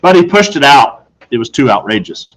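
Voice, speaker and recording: A man's voice: medium pitch, sing-song, brisk, a bit bright, poor audio. A man reading aloud to children as a storyteller, in the manner of a teacher narrating a book. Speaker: audiobook reader, teacher.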